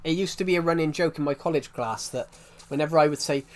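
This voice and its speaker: A man's voice: on the high side, fast talker. Rambling young British man, thick accent. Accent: thick regional British. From United Kingdom, outside London. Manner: rambling, repeats himself, many restarts. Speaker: game streamer. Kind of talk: casual live-stream chat.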